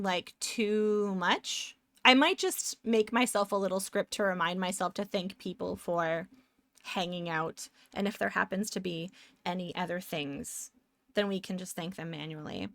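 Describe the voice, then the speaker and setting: A woman's voice: medium pitch, fairly bright tone, dry recording. A young woman with a Canadian accent, chatting casually with her stream viewers, rambling and upbeat.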